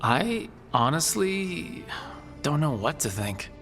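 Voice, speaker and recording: A man playing a calm, supportive hero in a fantasy video game, thoughtful and reassuring. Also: a little high, takes it slowly, soft background music, full character voice. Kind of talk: scripted video game dialogue.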